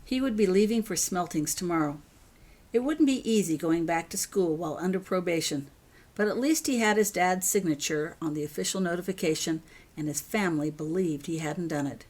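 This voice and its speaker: Female author-narrator, lowish pitch, reading a scripted story. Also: brisk, a little mellow.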